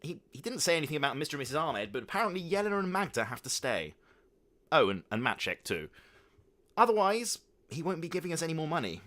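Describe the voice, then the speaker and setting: A man's voice: fairly high pitch, big pitch swings, fast talker, a bit bright, pitched above the performer's own voice. A man doing a flustered, weak-willed dad. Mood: sheepish. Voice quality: flustered, stammering. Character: weak, anxious middle-aged father.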